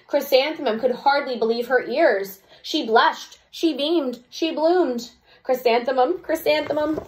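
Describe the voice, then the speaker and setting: A woman's voice: medium pitch, a little hiss or hum, poor audio. Woman teacher reading a children's story aloud. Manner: expressive reading, chatty asides. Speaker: second-grade teacher. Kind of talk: children's read-aloud with explanatory asides.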